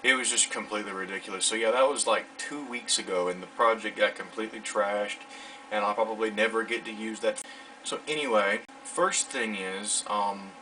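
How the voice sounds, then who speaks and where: A man's voice: fairly low pitch, sharp tone, slight background noise. A man in his late teens chatting casually in a vlog, rambling in an informal, self-deprecating way. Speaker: vlogger. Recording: distant mic, clear music behind.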